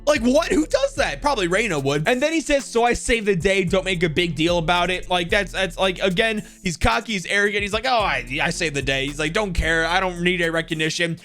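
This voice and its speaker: A man's voice: sits high, expressive, brisk, few pauses, sharp tone, faint music behind. A young man giving casual, slangy ranking commentary as a gaming video host, talking to viewers. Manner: informal, slangy, addresses viewers as guys.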